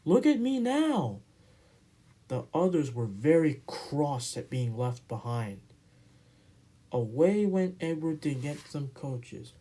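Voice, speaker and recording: A man narrating a children's storybook aloud in an amateur, expressive read-aloud style. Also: medium pitch, slow.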